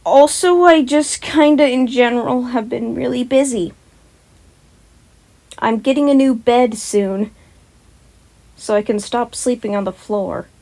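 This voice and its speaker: A woman's voice: a little high, measured pace, pauses often, dark tone. A young woman who chats casually and reads a story aloud in an informal amateur way, sometimes tripping over words and correcting herself. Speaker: podfic reader and channel host. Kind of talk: casual chatty intro and amateur story narration.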